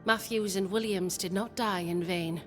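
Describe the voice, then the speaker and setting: A woman acts a devoted, proud leader of settlers in a game cutscene. Her medium-pitched voice is formal and solemn. It rings in long reverb. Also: fairly even intonation, moderate pace, soft background music, natural voice. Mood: devout, resolute. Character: proud, devoted wife leading embattled settlers. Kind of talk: video game cutscene acting.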